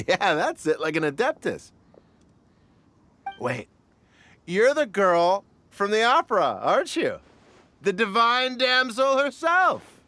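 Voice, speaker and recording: Chatty pushy young fanboy for a game, high voice, really animated, music behind. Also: male voice, full character voice. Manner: gushing, over-familiar. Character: brash, chatty young male opera fan. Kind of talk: video game voice acting. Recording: music under the voice.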